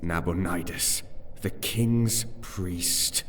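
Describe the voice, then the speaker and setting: An actor in a fantasy audio drama playing a young, scheming aristocrat who speaks in a measured, conspiratorial manner. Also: male voice, low-pitched, a little hiss or hum. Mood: secretive, calculating. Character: young aristocratic nobleman, cool, scheming, secretive. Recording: long dungeon reverb, music underneath.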